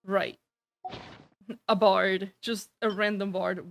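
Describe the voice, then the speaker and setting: A woman's voice: medium pitch, steady tone, very slow, gravelly, a little hiss or hum. A woman streaming a game, casually reading dialogue aloud and reacting in an irreverent, slangy, sweary way.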